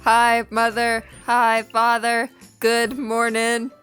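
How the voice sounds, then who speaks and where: A woman's voice: on the high side, monotone, slow pace, fairly bright tone, clean tone, faint music behind. A woman playing a polite, cheerful young robot son in a comedic table read. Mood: cheerfully polite.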